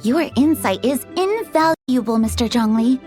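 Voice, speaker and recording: An actress playing a gracious, refined antiques merchant in a video game, polite, formal and earnest. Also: female voice, on the high side, lively intonation, normal speed, darkish tone, close to an everyday voice. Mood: grateful, earnest. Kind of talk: video game character acting. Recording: music behind the voice.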